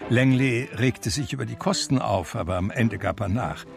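An actor playing a reflective man who tells his life story in measured literary radio drama narration. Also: fairly low pitch, gravelly. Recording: music under the voice. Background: music.

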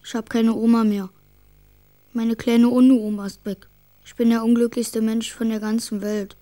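A woman's voice: on the high side, flat delivery, moderate pace, dark tone, lightly acted. A woman playing an earnest, caring little boy who coaxes and frets in a children's radio drama. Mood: tearful despair.

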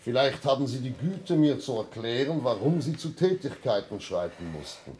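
An actor playing a stern, courteous, methodical police inspector in a 1960s German radio play, formal, measured and politely firm. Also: male voice, mid-range voice, lively intonation, a little mellow, close to an everyday voice. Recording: faintly noisy 1960s radio recording.

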